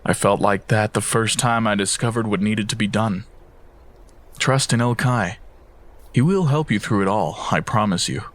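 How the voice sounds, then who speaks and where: A man playing a weary, sorrowful immortal mystic in an audio drama, speaking in a solemn, measured way. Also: on the low side, a bit bright, close to an everyday voice. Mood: solemn, patient. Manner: slow, solemn, measured, sighing. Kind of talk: fan audio drama acting.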